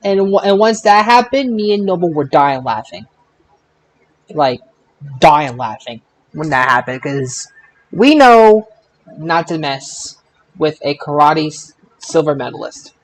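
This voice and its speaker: A man hosting a casual wrestling results chat, recapping in an informal, halting way. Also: on the high side, low-quality recording. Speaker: podcast host.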